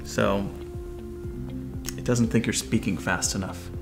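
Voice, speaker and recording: A man's voice: lowish voice, steady tone, slow, gravelly, music in the background. A man in his forties with an American accent, explaining and coaching as a video host in a casual instructional style. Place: furnished living room, indoors.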